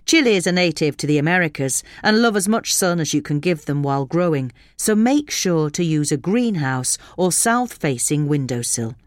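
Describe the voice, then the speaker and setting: A woman's voice: low-pitched, animated, normal speed, hardly any pauses, no room sound, studio quality. A woman reading a gardening article aloud as a scripted magazine narration.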